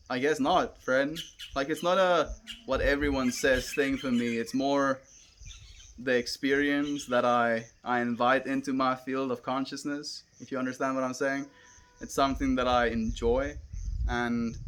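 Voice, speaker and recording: A young South African man chatting on a live stream outdoors, earnest and gentle, calling his viewers friend. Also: mid-range voice, noisy background. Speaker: livestreamer. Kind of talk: casual live stream chat reading comments. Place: outdoors, wind and cows nearby.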